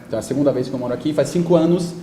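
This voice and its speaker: A man's voice: fairly high pitch, very fast, mellow. A man in his thirties with a French accent, speaking Portuguese as a second language in an informal, explanatory interview talk. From France. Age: 30s. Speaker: guest speaker, digital media company executive. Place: indoor event talk before an audience. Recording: echoey room with faint background noise.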